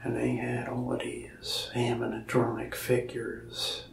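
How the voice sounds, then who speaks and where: A young man in a soft-spoken ASMR roleplay as a shop salesman, whispering and rambling in a folksy storytelling way. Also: mid-range voice, monotone, slow pace, gravelly, reverberant, far from the mic, a little hiss or hum.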